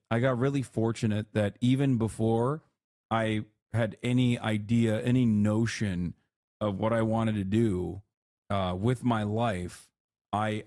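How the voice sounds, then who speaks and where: Streamer type: man from Turkey with an American accent, blunt and animated, swears casually, dry close sound. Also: fairly low pitch, no room sound. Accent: American English. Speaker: livestream host. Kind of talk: casual live-stream chat, reacting to chat.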